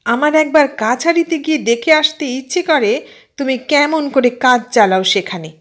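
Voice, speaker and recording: A woman's voice: high-pitched, moderate pace, fairly bright tone, voice pushed up. A woman voicing an older housewife in an audio story, a boastful, complaining aunt who scolds and belittles her husband. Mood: teasing scorn. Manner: complaining, self-important, emphatic scolding.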